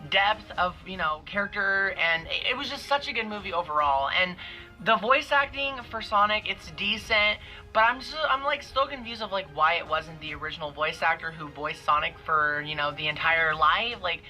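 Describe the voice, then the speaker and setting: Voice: medium pitch, expressive, normal speed, bright, forceful tone, soft background music, low-quality recording. A young adult giving a casual, gushing movie review in a vlog.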